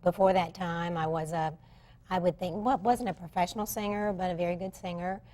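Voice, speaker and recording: A woman in her sixties, a pageant guest on a TV talk show, speaking candidly in a plain, matter-of-fact way with a slightly raspy voice. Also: lowish voice, steady tone, a little fast, dark tone. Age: 60s. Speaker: guest, reigning senior pageant queen.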